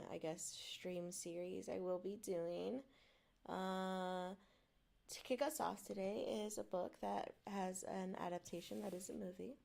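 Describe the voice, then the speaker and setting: A woman's voice: medium pitch, creaky, a little mellow. A woman who is not a native English speaker, chatting apologetically on a stream and reading a book aloud. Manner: apologetic, rambling, self-deprecating. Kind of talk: casual stream chat and read-aloud narration.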